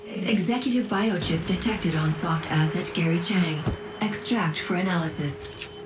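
A woman playing a calm, detached onboard computer in a video game, making precise, formal system announcements. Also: fairly low pitch, a little slow, lightly acted, low-quality recording. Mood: detached, clinical. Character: calm, clinical female onboard computer assistant. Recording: game music over the voice.

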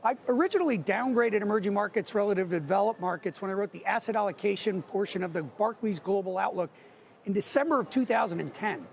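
A middle-aged man speaking as a market strategist on a TV news set, arguing points quickly with many figures. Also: high-pitched, lively intonation, vocal fry, a little hiss or hum. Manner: dense with figures, argumentative. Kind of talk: financial news analysis. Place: TV studio on a trading floor.

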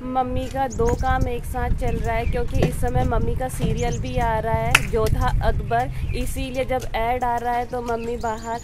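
Young woman, casual daily vlog, phone at arm's length, outdoors, slightly high voice, background music. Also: flat delivery, a little slow, hardly any pauses. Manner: chatty, narrating to the phone camera. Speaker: vlogger. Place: outdoors at a rural house.

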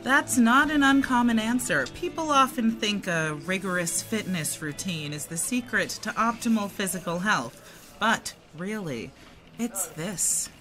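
A woman's voice: mid-range voice, measured pace, music in the background, lightly acted. An actress playing a pushy, sunny wellness saleswoman with a self-promoting, salesy manner in an audio drama.

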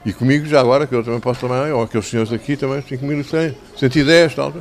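An older man with a European Portuguese accent and a slightly hoarse voice, talking to schoolchildren as an author, conversational and didactic, repeating phrases for emphasis into a handheld microphone. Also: mid-range voice, rapid-fire. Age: 60s or older. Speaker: children's author. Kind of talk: talking and telling stories to schoolchildren. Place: covered outdoor tent in a town square.

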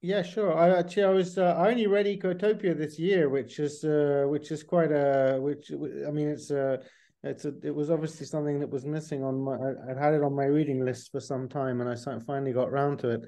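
A man with a mellow, slightly high voice talks casually as a co-interviewer on a video call. He is discursive and halting, often starting a thought again. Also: little pitch movement. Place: indoors, book-lined room at home, on a video call.

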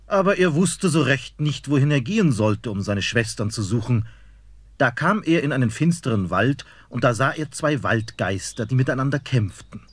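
Breathy male fairy-tale narrator. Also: medium pitch, animated, moderate pace, dry recording.

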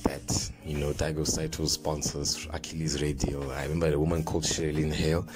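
Very deep-voiced young Zimbabwean man. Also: level tone, fairly quick. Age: young adult. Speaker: vlogger, drift fan page host. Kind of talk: casual chat to camera. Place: small plain indoor room. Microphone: phone held close to the mouth. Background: background music.

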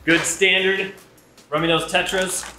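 A man's voice: high voice, little pitch movement, a little slow, echoey room, over music, rough recording. A man giving casual, chatty and enthusiastic commentary as host of a shop's unboxing video. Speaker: aquarium shop host. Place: indoors in an aquarium shop.

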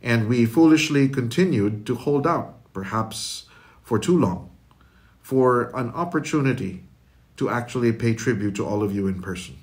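A middle-aged man, a school official, delivers a prepared commencement address in a formal, measured, eloquent manner. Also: mid-range voice, lively intonation, darkish tone. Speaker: school official giving closing remarks. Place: indoor room with curtains, recorded address.

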